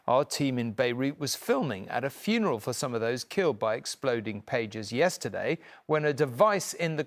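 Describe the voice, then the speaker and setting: A man's voice: mid-range voice, vocal fry, dry recording. Male news anchor, dry studio sound. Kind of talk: scripted broadcast news presentation.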